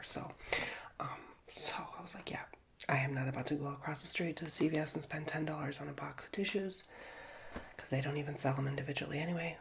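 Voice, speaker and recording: A man's voice: a little high, gravelly, a bit bright, a little hiss or hum. A man with a nasal voice, whispering an ASMR roleplay as a chatty, rambling school guidance counselor while getting over a cold. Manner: chatty, rambling, self-mocking. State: recovering from a cold.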